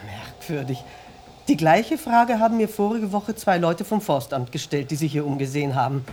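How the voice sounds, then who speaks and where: An actress in a radio play as a sociable, concerned middle-aged country innkeeper, polite and chatty. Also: female voice, sits low, lively intonation, moderate pace, warm tone, slight background noise. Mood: reminiscing.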